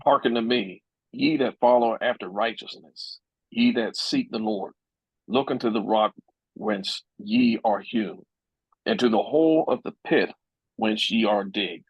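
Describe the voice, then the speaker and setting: A man reading scripture aloud in a measured, solemn way. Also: fairly low pitch, husky, dry recording. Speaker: scripture reader. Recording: muffled dry lo-fi audio.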